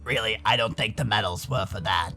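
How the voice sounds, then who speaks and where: A man's voice: on the low side, fairly quick, vocal fry, pushed and bright, soft background music, pitched below the performer's own voice. An American man voicing a laid-back furry animal character who hesitantly explains things to his friends in a visual novel. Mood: hesitant, patient.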